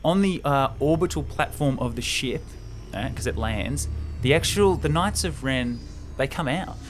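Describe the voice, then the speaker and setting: A man's voice: mid-range voice, animated, moderate pace, soft background music. A man co-hosting a show, retelling a film script in an informal, enthusiastic, chatty way. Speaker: podcast co-host.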